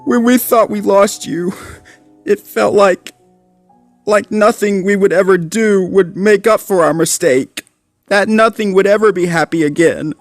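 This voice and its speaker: Man doing a high squeaky sorry little bulldog pup, sniffly, storybook voice. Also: unhurried, no room sound, faint music behind. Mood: remorseful. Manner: sniffling, earnest apology. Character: small male bulldog pup, remorseful and tearful.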